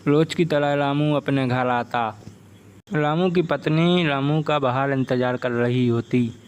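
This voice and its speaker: A man's voice: medium pitch, slow, clear, resonant tone. A man reading a scripted folk tale as the narrator. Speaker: story narrator.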